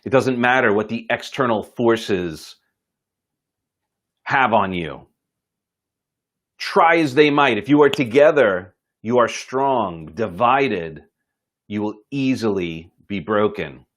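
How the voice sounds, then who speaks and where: A man voicing a wise, patient father in a moral tale, speaking in a measured, solemn, instructive manner. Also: on the low side, lively intonation, a bit bright. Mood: solemn, encouraging. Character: wise, calm, patient patriarch father of two sons.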